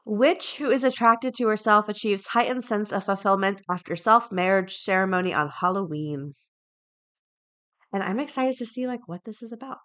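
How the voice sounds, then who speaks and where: A woman's voice: mid-range voice, moderate pace, fairly bright tone. A woman hosting a podcast solo, talking casually and chattily, with informal asides and items read aloud. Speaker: podcast host.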